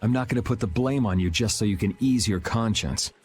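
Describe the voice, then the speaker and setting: A man's voice: on the low side, a little fast, darkish tone, soft background music, lightly acted. A man playing a cool, blunt young swordsman with a dry, matter-of-fact manner in an anime game dub. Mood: stern, dismissive. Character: cool, blunt young swordsman, world-weary but principled.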